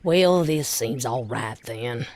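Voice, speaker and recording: A woman's voice: a bass voice, animated, takes it slowly, a little mellow, full character voice. A woman playing a folksy, familiar dockside madam in a video game, with drawling, colloquial speech. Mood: matter-of-fact. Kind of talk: video game cutscene acting.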